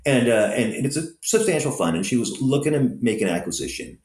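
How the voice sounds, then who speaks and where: Lively low-voiced man, roomy sound. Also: fast talker. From Southern California. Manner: anecdotal, many fillers, lively storytelling. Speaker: restaurant operator and investor guest. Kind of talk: conversational interview answers.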